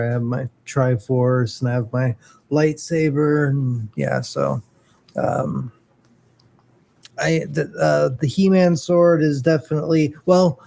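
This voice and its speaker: A man's voice: fairly high pitch, vocal fry, darkish tone. A middle-aged man in casual geeky chat, enthusiastic, rambling and self-deprecating as he co-hosts a show. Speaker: co-host of a morning show.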